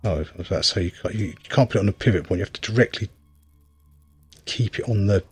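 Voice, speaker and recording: Husky low-voiced man, wry gameplay commentary. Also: fast talker, darkish tone, dry recording. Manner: wry, rambling, thinking aloud. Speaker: game reviewer and player.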